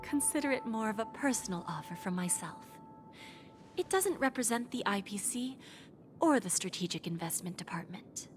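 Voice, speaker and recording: An actress voicing a poised, shrewd woman pawnbroker in a video game, speaking in a measured, formal, insinuating way with breathy phrase endings. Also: medium pitch, lively intonation, soft background music, natural voice. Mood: solemn, confiding. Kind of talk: scripted game dialogue.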